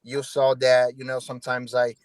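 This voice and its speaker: A man with a Brazilian Portuguese accent practices English in a relaxed video-call chat. He speaks haltingly, searching for words and restarting sentences. His voice is dry and close, with little room sound. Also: medium pitch, no room sound. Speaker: English learner. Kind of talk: casual practice conversation.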